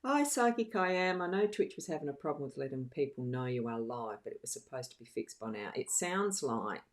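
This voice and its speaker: Very deep-voiced woman chatting on a livestream. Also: a little mellow. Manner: chatty, giggly, scattered, thinking aloud. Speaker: live streamer and card reader. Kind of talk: casual live stream chat.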